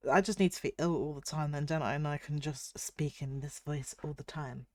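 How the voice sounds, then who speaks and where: A young British woman streaming as a VTuber, chatting casually and reading chat aloud while her voice is husky from a cold. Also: low voice, steady tone, fairly quick, darkish tone, dry recording. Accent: British English. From United Kingdom.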